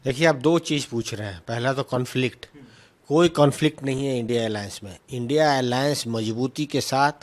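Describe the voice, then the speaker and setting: A man's voice: mid-range voice, brisk. A man speaking as a party spokesman, answering questions argumentatively with emphatic repetition and English terms mixed in. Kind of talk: political press remarks, answering questions.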